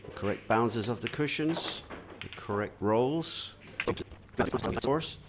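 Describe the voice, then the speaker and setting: A man from Denmark giving calm solo commentary on a pool match, explaining shots and answering viewer chat. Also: mid-range voice, slow, background noise. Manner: relaxed, explanatory, many "uh" fillers.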